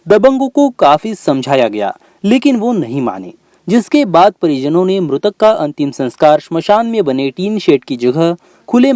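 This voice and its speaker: Male news reporter, fairly high voice, very animated intonation, scripted narration. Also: dry recording, studio quality.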